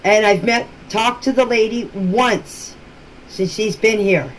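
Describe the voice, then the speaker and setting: A woman giving informal explanations in voice messages, speaking in a plain, deliberate, matter-of-fact way. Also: mid-range voice, steady tone. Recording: noisy amateur voice-message recording.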